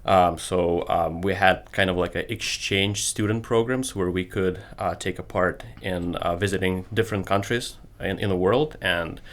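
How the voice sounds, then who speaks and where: This is a man from Ukraine in his thirties, talking in a casual interview. His English carries a Ukrainian accent and his voice sits very low. He stops and restarts a lot while he looks for the right words. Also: fairly even intonation. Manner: halting, searching for words, many ums. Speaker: guest, computer business owner.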